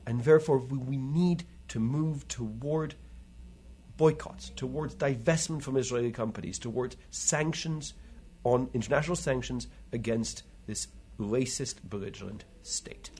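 A man giving political commentary as a guest, halting as he goes and stressing words emphatically. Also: mid-range voice, darkish tone. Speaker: interview guest.

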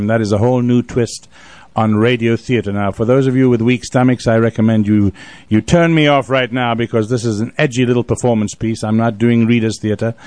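A man's voice: lowish voice, a little mellow, no room sound. A man hosting a talk radio show, introducing the program and addressing his listeners from a studio.

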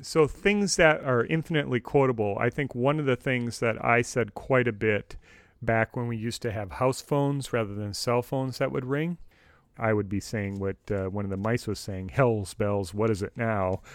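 A middle-aged man co-hosting a podcast, casually discussing radio drama in a measured, detailed way. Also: mid-range voice, dry recording. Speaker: podcast co-host.

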